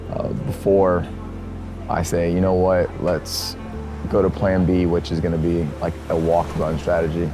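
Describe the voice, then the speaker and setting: A hoarse man vlogging casually outdoors at a race venue, rambling and upbeat with lots of fillers. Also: low voice. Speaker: triathlete filming his race. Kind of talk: casual vlog to camera. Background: music.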